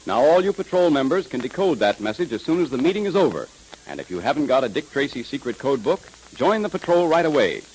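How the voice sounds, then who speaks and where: Lively American male radio announcer. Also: medium pitch, fast talker, rough recording. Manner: emphatic, polished salesmanship. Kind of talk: 1930s radio announcing, scripted sales pitch. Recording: hissy 1930s radio recording.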